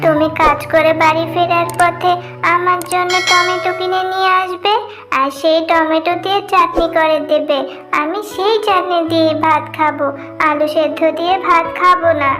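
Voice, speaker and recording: A woman's voice: very high voice, steady tone, normal speed, over music. A woman voicing a young animal cub girl in a cartoon, pleading and whiny in a childlike way. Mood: pleading, stubborn. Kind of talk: cartoon voice acting.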